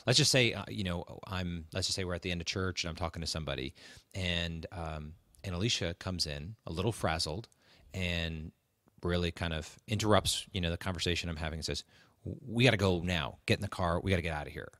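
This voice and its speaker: A man co-hosting a conversational advice podcast, talking in a halting way and repeating words for emphasis. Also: deep voice, a bit bright, no echo, studio quality. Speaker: podcast co-host.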